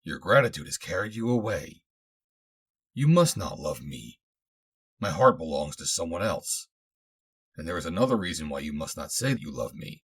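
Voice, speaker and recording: A man playing an honourable adventurer who speaks formally and earnestly, commanding yet gentle, in an adventure story. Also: rumbling low, big pitch swings, normal speed, pauses often, husky, exaggerated voice. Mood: gentle warning.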